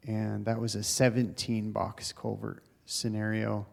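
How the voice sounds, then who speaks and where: A male engineer calmly explaining technical options at a town board meeting. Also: on the low side, a little slow, vocal fry. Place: town council chamber.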